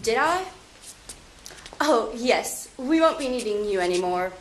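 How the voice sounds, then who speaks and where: A woman's voice: fairly high pitch, animated, a little slow, slight background noise. A woman playing a haughty boss who coldly and mockingly dismisses an employee. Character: cold, haughty female boss firing an employee. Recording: roomy echo.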